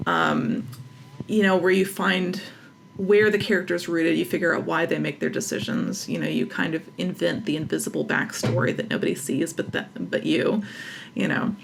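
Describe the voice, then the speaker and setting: A woman interviewed as a writer, talking casually and haltingly as she searches for words and corrects herself. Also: fairly low pitch, steady tone, gravelly, noisy background.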